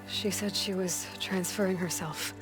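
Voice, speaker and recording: A woman's voice: fairly low pitch, steady tone, unhurried, lightly acted, rough recording. A woman playing a direct, sincere young huntress, the heroine of a video game. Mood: urgent, informative. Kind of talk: scripted video game dialogue. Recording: loud music over the voice.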